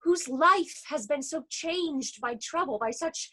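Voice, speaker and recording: Slow, high, mournful chorus voice of a woman. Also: animated, pushed and bright, full character voice. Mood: mournful, solemn. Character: mournful Theban elder of the tragic chorus. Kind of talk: solemn theatrical verse reading.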